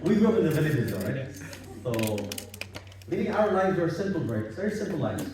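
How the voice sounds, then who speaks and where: A man from Bangladesh giving a relaxed, informal religious talk at a home gathering, repeating himself and using many religious phrases. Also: on the high side, brisk, noisy background. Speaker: halaqah speaker. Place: home living room gathering. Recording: echoey room, far from mic.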